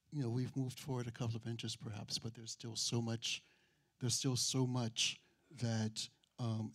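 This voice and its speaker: A middle-aged man, speaking reflectively in a panel discussion, pausing and rephrasing as he goes into a handheld mic. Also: medium pitch, fairly bright tone. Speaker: writer and critic on a panel. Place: auditorium stage. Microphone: handheld microphone held near mouth.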